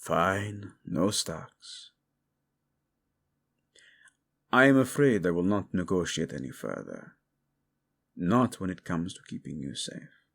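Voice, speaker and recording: A male voice actor playing a devoted, possessive royal husband in an intimate romantic audio roleplay, with a husky low voice and formal, teasing speech. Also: big pitch swings, heavily acted. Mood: protective, firm. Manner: slow, hushed, teasing, formal wording.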